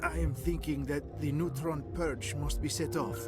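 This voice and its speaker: An actor playing a weary, regretful station director in a video game, with a Slavic accent and halting, grave, non-native phrasing. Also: male voice, fairly high pitch, steady tone, close to an everyday voice. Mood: grave, resigned. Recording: loud music behind the voice.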